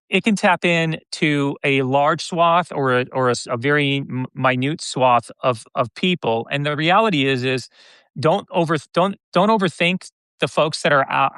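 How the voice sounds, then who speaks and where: An American man in his fifties talking casually as an interview guest, often halting and restarting his phrases. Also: a little high, bright, forceful tone, smooth, no room sound. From United States (Detroit). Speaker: podcast guest, content creator.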